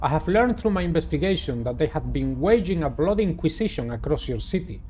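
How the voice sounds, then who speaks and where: A man's voice: fairly high pitch, expressive, quick pace, darkish tone, slight background noise, close to an everyday voice. A man reading the part of a grave knight investigator reporting formally to his sovereign in a visual novel.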